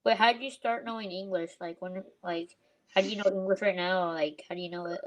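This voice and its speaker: A woman's voice: mid-range voice, fairly even intonation, muffled recording. Eager woman, curious, trips over her questions in casual video chat. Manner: eager, rapid questions with restarts. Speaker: chat participant.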